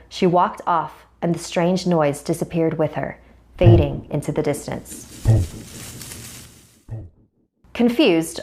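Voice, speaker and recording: A woman narrating a scripted first-person horror story as a storyteller. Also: on the low side. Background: music.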